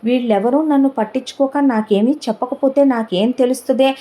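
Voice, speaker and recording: A woman's voice: high-pitched, steady tone, warm tone, pitched above the performer's own voice, close to an everyday voice. A woman voicing a distraught young man who fires off questions about his missing father. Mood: agitated pleading. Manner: rapid anguished questioning.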